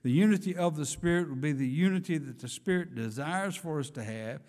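A man's voice: on the high side, brisk, darkish tone. A man in his sixties preaching an earnest, emphatic sermon from a church pulpit, reading scripture aloud. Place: church sanctuary, speaking from a pulpit. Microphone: pulpit microphone. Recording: church hall reverb.

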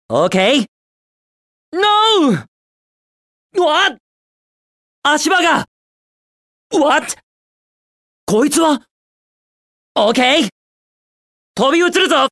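A man's voice: extremely high pitch, fairly bright tone, no room sound, clean studio sound, exaggerated voice. An actor playing a cocky, carefree young male hedgehog hero in a video game, brash and slangy with a cool manner. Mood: confident, brisk, then alarmed. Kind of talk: video game voice acting, short in-game remarks.

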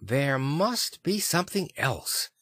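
A man voicing a perplexed, earnest gentleman lawyer in an audiobook, musing and emphatic. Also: on the high side, big pitch swings, takes it slowly, dry recording, pitched above the performer's own voice, exaggerated voice. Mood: troubled, fervent. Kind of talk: dramatic audiobook character reading.

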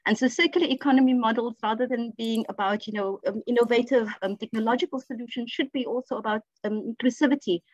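A woman speaking as a panelist in an online discussion, measured and advocating. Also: a little high, no echo, dull audio.

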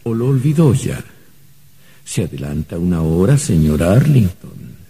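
An actor playing a calm, sly otherworldly official in a radio drama, husky, measured, polite and knowing. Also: male voice, sits low, exaggerated voice. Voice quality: very husky, dark. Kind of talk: old radio drama acting.

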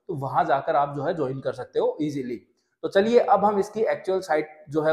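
Chatty young man, slightly high voice. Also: darkish tone. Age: young adult. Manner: chatty, mixes English phrases, many "jo hai" fillers. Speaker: real estate channel host. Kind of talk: casual Hinglish property update to camera. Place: indoor studio set with shelves. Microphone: lapel mic. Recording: roomy echo.

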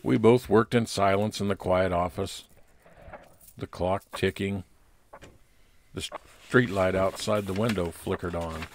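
A man's voice: deep voice, fairly even intonation, measured pace, pauses often, slight background noise. A man narrating a scripted first-person private investigator story in old-time radio detective style.

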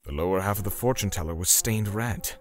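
A man's voice: fairly low pitch, brisk, natural voice. An actor voicing a solemn, menacing torturer who narrates his vengeance in a dramatic manga dub. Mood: interrogating. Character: grim, self-righteous male torturer seeking vengeance for victims. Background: music.

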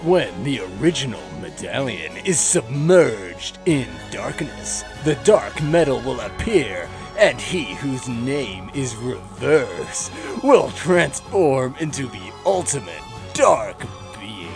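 A man narrating an amateur comic dub, reading dramatically with deadpan asides. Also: medium pitch, takes it slowly, hardly any pauses. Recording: music behind the voice.

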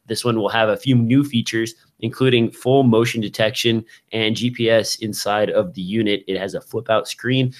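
A man in his thirties hosting a podcast, chatting casually about tech gear into a close microphone. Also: on the low side. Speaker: podcast host. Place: small indoor room with shelves of gear. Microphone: broadcast microphone on a boom, close up, with headphones.

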